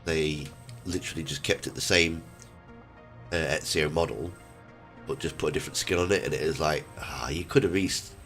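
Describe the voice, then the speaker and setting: A man's voice: very deep voice, normal speed, a bit bright, faint music behind. A man chatting casually as he streams a game, rambling a little and searching for words. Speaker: streamer and host. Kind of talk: casual chat while gaming.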